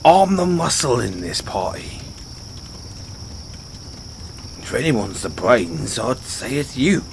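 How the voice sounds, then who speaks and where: A British man voicing a dim, sheepish orc barbarian in a fantasy audio roleplay, speaking in a halting, blunt, stammering way. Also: mid-range voice, very animated, vocal fry, a little hiss or hum. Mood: self-deprecating. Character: gruff but sheepish, dim orc barbarian adventurer, adult male. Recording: outdoor night ambience with crickets.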